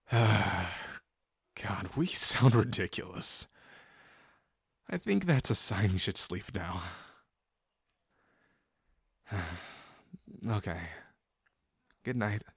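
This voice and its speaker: A man's voice: on the low side, gravelly, breathy edge, no room sound, clean studio sound, full character voice. A man playing a shy, sincere young guy in love with his best friend, speaking hesitantly and intimately to the listener in an audio roleplay. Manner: hesitant, halting, full of pauses and sighs. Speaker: voice actor.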